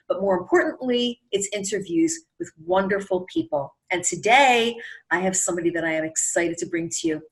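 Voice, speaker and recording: Effusive woman host with lively, swooping pitch. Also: rough recording. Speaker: talk show host. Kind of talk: upbeat interview show hosting. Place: online video call.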